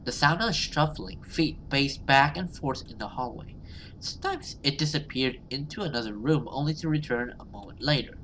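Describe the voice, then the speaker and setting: A man giving casual let's play commentary and reading game text aloud, halting and repeating himself, given to exclaiming. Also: medium pitch, expressive, fairly bright tone, slight background noise, low-quality recording. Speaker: gaming video host.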